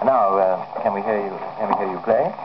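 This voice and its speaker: A man's voice: fairly low pitch, a little fast. A man interviewing on 1950s radio in a polite, formal manner. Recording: muffled, hissy 1951 acetate radio recording.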